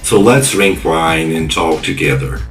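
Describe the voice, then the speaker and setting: A man playing a polite, probing cyberpunk investigator in audio drama dialogue, with measured questions. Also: very deep voice, lively intonation, creaky, far from the mic, faint music behind, full character voice. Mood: smooth, curious.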